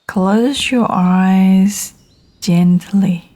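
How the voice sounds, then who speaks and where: A woman guiding a meditation in a gentle, soothing manner, speaking in short phrases with long, unhurried pauses. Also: medium pitch. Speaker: meditation guide. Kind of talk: guided meditation narration.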